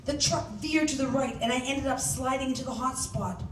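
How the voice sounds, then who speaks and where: Female author reading own novel, higher-pitched, animated storyteller, recorded at a distance in an echoey room. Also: fairly quick. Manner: animated, acts out shouted dialogue. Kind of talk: dramatic first-person story reading. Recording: roomy, distant mic, faint background noise.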